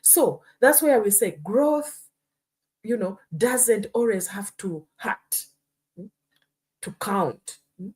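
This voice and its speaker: A woman's voice: medium pitch, expressive, takes it slowly, long pauses, a little mellow. A woman from Uganda hosting a live stream, talking earnestly and often checking in with "hmm?" and "you know". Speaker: host. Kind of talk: casual live-stream talk.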